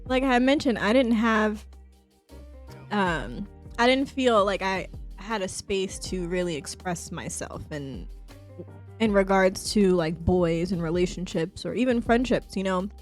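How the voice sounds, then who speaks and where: A woman speaking as a guest on a podcast, relaxed and candid in casual conversation, laughing easily. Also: medium pitch, a little slow, faint music behind.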